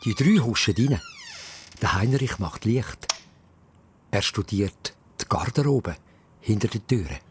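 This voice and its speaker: Animated Swiss German man narrating. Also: on the low side, slow pace, long pauses, husky. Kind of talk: dramatic children's radio play narration.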